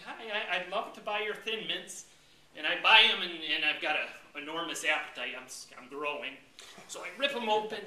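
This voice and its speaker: Humorous club speaker, American male, high-pitched, theatrical and mock-indignant; distant mic in an echoey room with faint noise. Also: sharp tone. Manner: theatrical mock-indignant storytelling, acts out his lines. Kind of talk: humorous tall-tale speech to a live audience.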